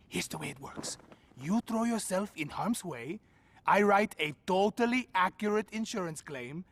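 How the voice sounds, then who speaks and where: An actor playing a smug, crooked doctor who talks like a smooth salesman in a video game cutscene. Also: high-pitched, a bit bright, slight background noise. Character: slick, smug, crooked male doctor, a scam artist.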